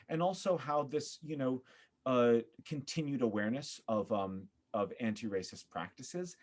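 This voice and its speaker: A man speaking as a podcast guest in a casual interview, earnest and effusive, often pausing to think. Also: fairly low pitch, a little mellow. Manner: earnest, effusive, halting with thoughtful pauses. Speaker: podcast guest, theater performer.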